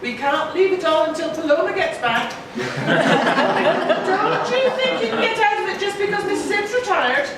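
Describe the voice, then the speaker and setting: A woman voicing a brisk, nagging lady organiser in a live children's puppet show, full of scolding exclamations. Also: extremely high pitch, sing-song, over a noisy crowd, exaggerated voice. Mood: bossy, teasing. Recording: noisy echoey hall, far from mic.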